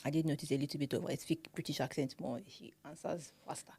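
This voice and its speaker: A young Nigerian woman chats on a podcast with a slightly low voice. Her talk is animated and full of laughter. She speaks with a Nigerian accent. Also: fast, creaky, slightly rough. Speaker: podcast co-host. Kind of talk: casual chat.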